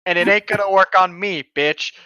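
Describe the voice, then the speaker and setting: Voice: mid-range voice, moderate pace, sharp tone, clear, resonant tone, dry recording. A podcast co-host reading a story aloud and breaking into casual, sweary, mocking banter, on a dull lo-fi microphone.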